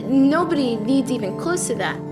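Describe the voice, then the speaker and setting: A woman's voice: high voice, lively intonation, normal speed. A woman in her twenties, a climate activist, speaking with passion in an interview. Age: 20s. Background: loud guitar music.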